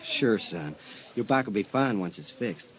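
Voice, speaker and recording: A man's voice: lowish voice, wildly swooping pitch, unhurried, a bit bright, heavily acted. An older man voicing a tired, plain-spoken small-town sheriff in an audio drama. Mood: weary.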